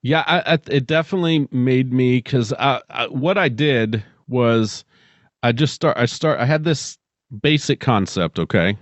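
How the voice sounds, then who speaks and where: A man's voice: mid-range voice, a little slow, dry recording. A middle-aged man speaking as a podcast co-host and storyteller, close to the microphone on slightly dull audio. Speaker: podcast co-host and amateur short story writer. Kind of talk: dramatic story reading and casual podcast chat.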